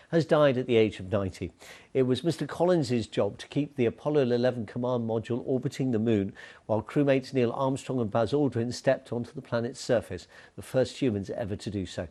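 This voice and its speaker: A man reads as a news anchor. His voice is dark and mellow, with a middling pitch. The delivery is scripted studio news presenting.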